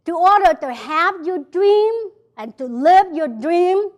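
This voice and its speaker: Vietnamese accented woman, high voice, pumped up motivational talk at an event, says key lines twice. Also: expressive, slow pace, muffled recording. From South Vietnam. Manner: emphatic, repeats key phrases, addresses listeners by name. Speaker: motivational speaker. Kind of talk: motivational speech to a live audience. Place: event hall before an audience.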